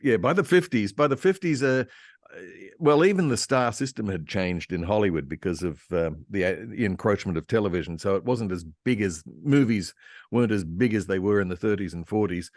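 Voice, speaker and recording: A man giving detailed, halting but enthusiastic anecdotes as a guest historian in a panel discussion. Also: fairly low pitch, expressive, dry recording, studio quality. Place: online convention video call.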